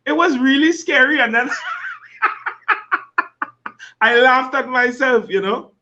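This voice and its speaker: A man's voice: very high voice, wildly swooping pitch, slow pace, noisy background. A man telling jokes as a guest on a live talk show, laughing often and saying "you know" a lot. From Trinidad. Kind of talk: casual chat, comic storytelling. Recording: dull lo-fi livestream audio.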